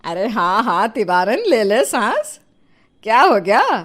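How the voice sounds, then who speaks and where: A scolding older mother-in-law played by a woman, high in pitch. She nags and bosses her household with sarcastic exasperation, in the manner of an audio family storybook. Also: very animated, slow pace, fairly bright tone, exaggerated voice. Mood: nosy, bossy. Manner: bossy, nagging, sarcastic.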